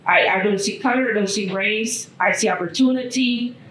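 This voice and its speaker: Loud, bright woman. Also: medium pitch, normal speed, roomy sound, rough recording. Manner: loud voice, warm and self-deprecating. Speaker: panelist. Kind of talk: panel discussion talk. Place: hotel event room at a brunch.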